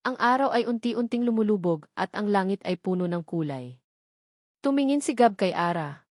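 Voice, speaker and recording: A woman's voice: mid-range voice, lively intonation, normal speed, darkish tone, no echo. Filipino woman narrating, dry close sound. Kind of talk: scripted romance story narration.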